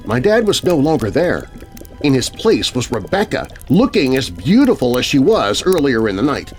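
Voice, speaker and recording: Animated male horror storyteller. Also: mid-range voice, normal speed. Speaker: narrator of children's horror stories. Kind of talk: dramatic scripted storytelling, first person. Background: music bed.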